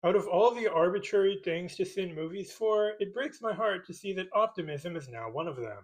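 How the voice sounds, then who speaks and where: A man's voice: high voice, animated, fairly quick, heavy background noise. A man delivering scripted comedic commentary in a sarcastic, pedantic manner as a video host. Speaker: host of a movie-criticism parody video.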